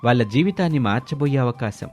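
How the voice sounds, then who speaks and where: A man narrating a scripted moral story for an animated video. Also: mid-range voice, brisk, soft background music.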